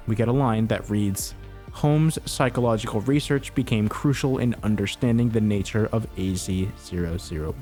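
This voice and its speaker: A man with a mid-pitched voice narrates a scripted video essay over a music bed. He tells the story in a measured way. Now and then he slips in a wry, informal aside. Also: a little mellow.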